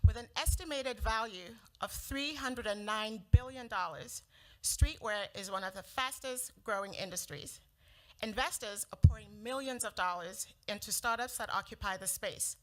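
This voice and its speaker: A middle-aged woman from South Africa whose first language is Sepedi, delivering a prepared startup pitch in a measured, earnest way. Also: a bit bright, low-quality recording.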